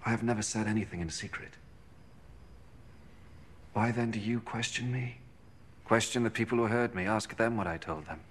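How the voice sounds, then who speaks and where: An actor playing a calm, resolute holy teacher in a biblical film, speaking firmly and earnestly. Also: male voice, on the low side, moderate pace, pauses often, slight background noise, natural voice. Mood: earnest, challenging. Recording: film scene with music over it.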